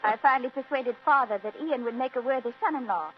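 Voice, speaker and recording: An actress playing a spirited, romantic young fiancee in an old-time radio drama, with well-mannered delivery. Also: female voice, high voice, fast talker, a little hiss or hum, lightly acted. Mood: bright, playful. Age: young adult. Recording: dull, faintly humming vintage radio recording.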